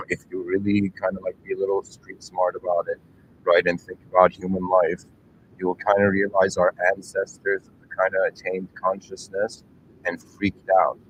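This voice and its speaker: A man in his thirties giving a reflective, rambling philosophical monologue, full of fillers and restarts, often clearing his throat and speaking straight to his listeners. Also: sits low, little pitch movement, normal speed, fairly bright tone, muffled recording, a little hiss or hum. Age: 30s. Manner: earnest, addresses listeners directly. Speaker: channel host.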